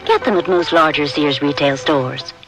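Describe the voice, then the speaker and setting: A man's voice: a little high, moderate pace, fairly bright tone, soft background music. A man reading a scripted fashion advertisement as an announcer. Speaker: commercial announcer.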